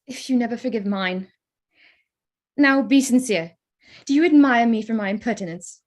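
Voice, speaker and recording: A witty young Regency gentlewoman acted by a woman with a fairly high voice. She is spirited and teasing yet keeps her composure, speaking in the formal phrases of a period romance read for the stage. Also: normal speed, natural voice. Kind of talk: staged reading of a period drama.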